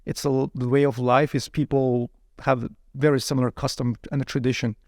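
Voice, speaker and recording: A man whose first language is Chinese, telling his story as a guest in a casual interview. He is lively and laughs often, with plenty of "you know" fillers. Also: mid-range voice, brisk, no echo, clean studio sound.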